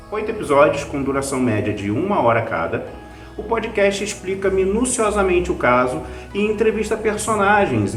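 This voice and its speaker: A man's voice: medium pitch, reverberant. A man with a Brazilian accent, hosting and narrating a scripted review. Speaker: host, writer and reviewer.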